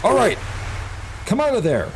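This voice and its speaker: A man playing a nervous, weary police officer in an amateur horror radio play, with loud noise around the voice. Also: very high-pitched, huge pitch swings, moderate pace, heavily acted. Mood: nervous, commanding.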